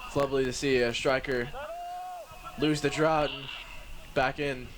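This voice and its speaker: A man doing play-by-play commentary for a live match, slightly hoarse, excitable and chuckling often. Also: medium pitch, measured pace, noisy background.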